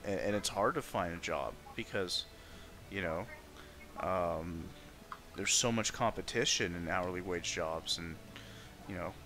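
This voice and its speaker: A man's voice: sits low, a little slow, long pauses, bright, forceful tone, faint music behind. A man chatting casually and sympathetically in a loose, filler-heavy way. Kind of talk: casual chat.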